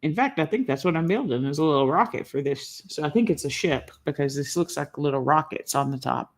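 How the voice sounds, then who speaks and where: A woman's voice: low-pitched, expressive, brisk. Chatty, slightly congested woman. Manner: rambling, chatty, laughs often. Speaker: vlogger hosting a live stream. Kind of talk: casual live-stream chat while building a toy.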